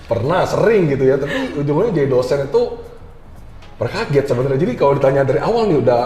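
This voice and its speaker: Echoey reverberant room, man, mellow voice, quick pace, casual interview answers, English terms mixed in. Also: fairly high pitch, sing-song. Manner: informal, colloquial, mixes in English terms. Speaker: interview guest, university lecturer. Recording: echoey room with long reverb, music behind.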